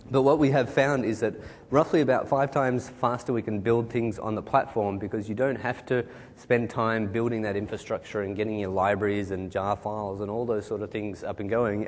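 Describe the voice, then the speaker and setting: A man with a hoarse voice talks through a tech presentation from a large conference stage. He speaks quickly and casually, leaning on fillers. The sound carries a long hall reverb over a faint low rumble. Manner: quick, informal, kind-of fillers. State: losing his voice, coughing.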